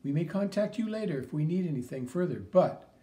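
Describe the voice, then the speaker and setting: A polite, businesslike police officer, voiced by a man reading a storybook. He is formal and measured, with a warm, mellow tone. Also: a little high, lively intonation, close to an everyday voice. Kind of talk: audiobook character reading.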